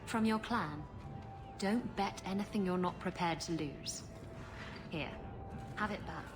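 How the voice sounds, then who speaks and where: An actress playing a roguish, flirtatious pirate captain in a video game, teasing and playful. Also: female voice, lowish voice, unhurried, natural voice. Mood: teasing, relaxed. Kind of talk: bantering game dialogue. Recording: music behind the voice.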